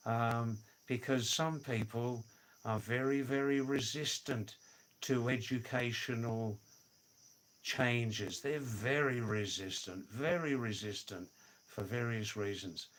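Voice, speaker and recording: An older man hosting a casual livestream from his home in the Himalayas, answering viewer comments, repeating words and chuckling. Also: medium pitch. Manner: slow, drawn-out, repeats words, chuckles "hoo hoo hoo". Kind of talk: casual live chat.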